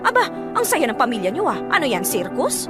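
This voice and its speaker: An actress playing a fed-up, resentful married sister-in-law with a Filipino accent, scolding and sarcastic in a radio drama. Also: female voice, high-pitched, huge pitch swings, fairly quick, over music. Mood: angry, resentful. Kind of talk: Tagalog radio drama acting.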